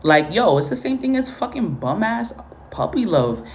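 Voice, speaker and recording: A woman's voice: mid-range voice, big pitch swings, moderate pace, a little hiss or hum. A woman from Guatemala and Honduras, Spanish her first language, giving a casual spoken reading with blunt, profane, repetitive commentary. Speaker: tarot and spiritual reader.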